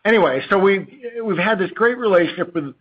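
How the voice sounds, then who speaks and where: A man with a fairly high voice talks informally as a chief executive on an earnings call. He is halting and often wanders off on tangents. The audio is dull and lo-fi. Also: lively intonation. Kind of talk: unscripted earnings call presentation.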